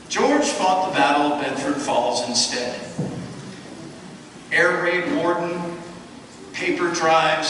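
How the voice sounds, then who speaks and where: A solemn elder angel narrates in a bright, measured voice, played by a man. He tells the story steadily in a live radio play. The sound comes from afar in a long echoing hall. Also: on the high side, slight background noise. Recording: echoey hall from far off.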